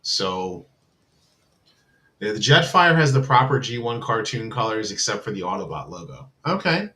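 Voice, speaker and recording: A man with a somewhat low voice, chatting casually to his livestream audience. He rambles, restarts his sentences and fills the gaps with constant filler words, speaking into a computer mic. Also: very animated, moderate pace, pushed and bright. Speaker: livestream host.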